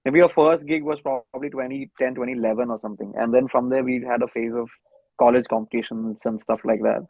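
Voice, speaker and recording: Gravelly Indian man on a phone-like line. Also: mid-range voice, steady tone, quick pace, dry recording. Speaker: band musician being interviewed. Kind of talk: casual chat, recounting. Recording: thin phone-like line, dry.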